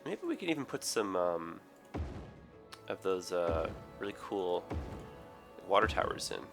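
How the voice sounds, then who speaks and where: A man with a raspy, hoarse, tired voice, rambling through casual game commentary with many ums and self-corrections. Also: deep voice, big pitch swings, unhurried, music in the background. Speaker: gaming video host. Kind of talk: casual let's play commentary.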